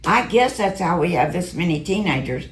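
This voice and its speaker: A middle-aged woman whose voice has gone low and rough, rambling through a story in a Bible study group. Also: lively intonation, normal speed. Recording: echoey room, faint noise.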